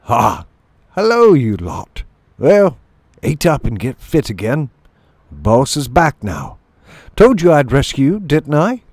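A man voicing a boastful, bossy shrew chief in a storybook, brisk and commanding like a self-assured sea captain. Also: mid-range voice, wildly swooping pitch, darkish tone, no echo, voice pushed up, full character voice. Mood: jovial, boastful. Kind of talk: storybook character voice.

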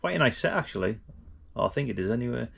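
Middle-aged man, gravelly. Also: medium pitch, lively intonation, fast, fairly bright tone. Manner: informal, chatty, blokey. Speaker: vlog host. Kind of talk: casual vlog chat.

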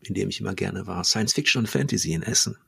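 A man in his forties hosting a podcast, asking questions in a relaxed, chatty way with frequent ums. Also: sits low, quick pace, gravelly, husky. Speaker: podcast host. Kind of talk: casual interview conversation.

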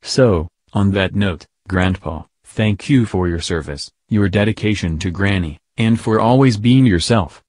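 A man narrating a scripted news piece in an even, uninflected reading style. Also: lowish voice, a little slow, few pauses, dry recording, studio quality.

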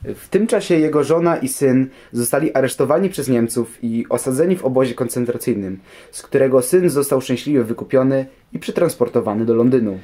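A man with a mellow, soft-edged voice narrates history from a script. He speaks at a middle pitch, as a documentary expert answering questions. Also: fairly quick.

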